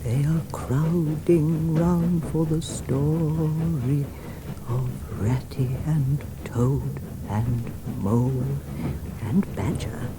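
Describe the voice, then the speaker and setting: An actress playing a wistful but tart woman with a measured, reflective delivery in a radio play. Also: deep voice, fairly even intonation. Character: wistful, tart woman, tenderly musing on storybook animals. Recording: noisy old radio broadcast tape.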